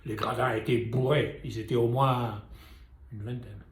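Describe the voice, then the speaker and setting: A man's voice: medium pitch, fairly even intonation, measured pace. An older man, a painter and sculptor, telling a story and talking straight to viewers in a chatty, ironic way. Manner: chatty, ironic asides, addresses viewers directly. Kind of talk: storytelling talk to camera. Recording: echoey room.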